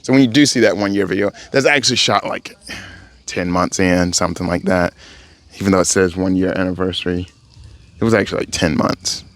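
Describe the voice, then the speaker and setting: A man speaks casually in a vlog about his language study. His voice sits low and has a gravelly, fry-edged texture. He rambles, corrects himself as he goes, and turns encouraging toward his viewers. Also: fairly even intonation, brisk, dry recording. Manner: rambling, self-correcting, motivational. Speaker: language-learning vlogger. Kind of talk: casual spoken update to camera. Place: home in Thailand.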